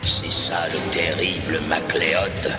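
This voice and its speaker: An actor playing a menacing immortal tyrant in a cartoon, slow and taunting in his delivery. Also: a bass voice, little pitch movement. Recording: echoey hall, distant, loud music.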